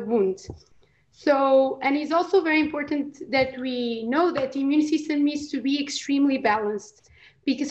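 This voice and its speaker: A high-pitched young woman explains science in a casual chat, pausing often and restarting her sentences. Her voice comes through slightly dull and lo-fi. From Portugal. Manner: hesitant, many fillers and restarts. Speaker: PhD researcher guest.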